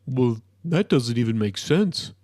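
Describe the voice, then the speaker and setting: A man voicing a big, friendly amphibious creature from a storybook, with a low, cartoonish voice and a patient, deliberate, mildly chiding manner. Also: animated, darkish tone, dry recording, clean studio sound. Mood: puzzled, matter-of-fact. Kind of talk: storybook character voice.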